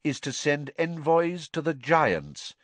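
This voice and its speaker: A calm, commanding elderly wizard who states each point in a cool, measured, unbending way, as a male narrator voices him. The voice sits a little raised and lively in pitch. It is recorded close and dry for a storybook. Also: no echo, exaggerated voice. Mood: firm.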